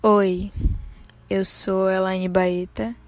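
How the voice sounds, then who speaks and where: A woman's voice: mid-range voice, level tone, very slow. A woman in her twenties with a Bahian Brazilian accent, casually telling personal stories as a podcast host. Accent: Bahian Brazilian Portuguese. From Salvador, Bahia, Brazil. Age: 20s. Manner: casual, conversational, self-deprecating.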